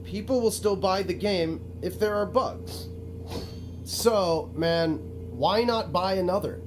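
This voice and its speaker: A man's voice: sits high, slow pace, a little hiss or hum, low-quality recording. A male actor playing a self-pitying, pushy game developer who narrates his own video game in a theatrical, pleading way. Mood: pushy, sardonic. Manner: pleading, self-justifying, dramatic.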